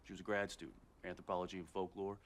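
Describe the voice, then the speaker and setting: An actor playing a cocky, needling older brother in a TV drama. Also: male voice, deep voice, flat delivery, very fast, a little hiss or hum, natural voice. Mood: defensive. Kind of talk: TV drama acting.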